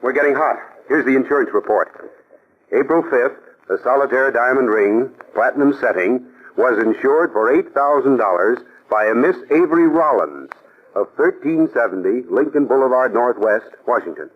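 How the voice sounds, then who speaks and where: An actor playing an eager male assistant investigator who reacts quickly, in 1940s radio acting. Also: medium pitch, animated, natural voice. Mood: eager, keen. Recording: tinny phone-like old radio recording.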